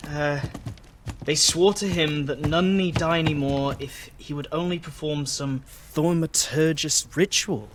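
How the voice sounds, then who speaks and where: A man's voice: a little high, steady tone, a little slow, slight background noise, lightly acted. An actor playing a nervous, bookish young male scholar in a video game, speaking in a hesitant, earnest, stammering way. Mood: explaining. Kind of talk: video game cutscene acting.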